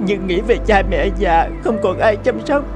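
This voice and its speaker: A woman voicing a small, gentle bird in an animated folk tale, with a plaintive, pleading manner. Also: mid-range voice, moderate pace, close to an everyday voice. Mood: sorrowful, reproachful. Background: music behind the voice.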